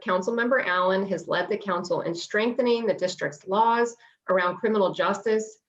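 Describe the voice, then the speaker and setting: A woman hosting an event, reading a scripted, formal welcome and introduction. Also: medium pitch. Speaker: event host introducing speakers. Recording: muffled, distant mic on virtual event.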